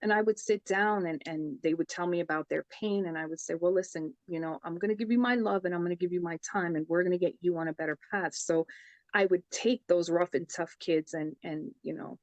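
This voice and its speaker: A woman's voice: on the low side, a little fast, no echo, rough recording. A young woman from the Bronx with a New York accent, a psychic medium talking earnestly about her life in a casual interview. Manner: earnest, storytelling, says "I says" for past talk.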